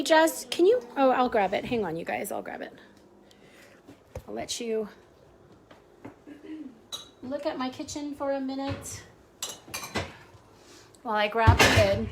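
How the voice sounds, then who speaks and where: A woman's voice: on the high side, background noise. A woman hosting a casual live cooking stream from her home kitchen, chatty, greeting viewers by name and easily sidetracked. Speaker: host of a cooking livestream.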